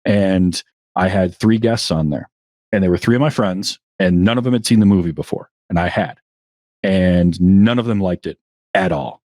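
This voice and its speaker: A man with a lowish voice talks loosely as a podcast guest, often restarting mid-sentence and chuckling at himself. The sound is dry and close, with no room around it. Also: normal speed, darkish tone, dry recording. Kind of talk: casual interview chat.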